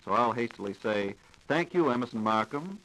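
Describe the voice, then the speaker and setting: A man's voice: mid-range voice, lively intonation, normal speed, a bit bright, low-quality recording. An American man hosting a 1940s radio programme with formal, courteous, polished scripted announcing. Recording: vintage 1940s radio broadcast recording.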